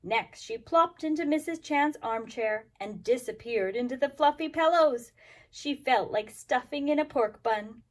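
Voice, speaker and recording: A woman's voice: high voice, unhurried. A woman talking and reading aloud expressively to young children as a kindergarten teacher, explaining things simply. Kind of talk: children's story time, expressive read-aloud.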